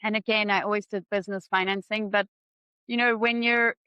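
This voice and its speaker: Bright-voiced woman from Zimbabwe. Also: mid-range voice, steady tone, a little fast, clean tone, dry recording, dull audio. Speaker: guest, finance coach. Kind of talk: interview conversation.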